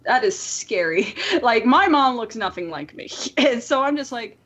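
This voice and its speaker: A woman co-hosting a casual podcast, talking in an animated, playfully exaggerated way. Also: on the high side, a little slow, lo-fi sound. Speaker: podcast co-host.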